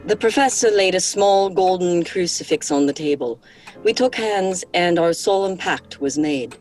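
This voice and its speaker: A woman's voice: lowish voice, animated, normal speed, a little mellow, close to an everyday voice, rough recording. An actress playing an earnest woman who records a solemn meeting, in formal, precise horror audio drama narration. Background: music.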